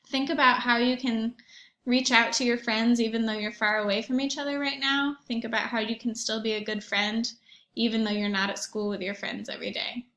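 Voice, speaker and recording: A woman teacher reading aloud and talking to young children in an expressive storytelling manner, with questions and asides. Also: on the high side, brisk, roomy sound. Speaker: primary school teacher reading aloud to pupils.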